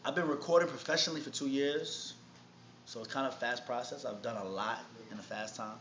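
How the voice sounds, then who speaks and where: A man's voice: medium pitch, steady tone, brisk, a bit bright. A man from Harlem with a New York accent, talking casually and in slang as a rapper being interviewed. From Harlem, New York City. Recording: noisy, muffled, echoey room recording.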